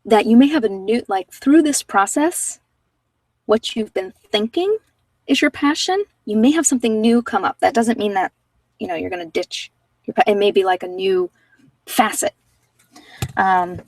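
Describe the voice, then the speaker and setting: A woman giving a casual, halting tarot reading and chatting with viewers as she thinks aloud. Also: mid-range voice, darkish tone, no echo, far from the mic, poor audio. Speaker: host of a live card-reading session.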